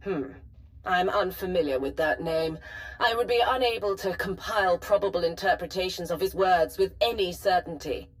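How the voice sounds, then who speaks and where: A woman playing a formal, measured artificial personality stored in an ancient archive, precise and helpful, in a video game. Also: on the low side, lightly acted, poor audio. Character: calm, formal female personality matrix of a Sith holocron. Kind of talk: scripted video game voice acting.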